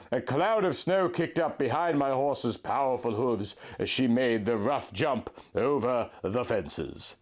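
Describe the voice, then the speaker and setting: A man reading fan fiction aloud in a deadpan, overly earnest storybook manner. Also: medium pitch. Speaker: comic reader of fan fiction. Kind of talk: mock-dramatic reading aloud.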